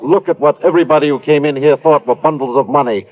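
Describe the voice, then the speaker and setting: A man's voice: fairly high pitch, fast, a crowd in the background, natural voice. A man playing an earnest, dismayed small-town banker in 1950s radio drama. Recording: thin, phone-like vintage radio recording.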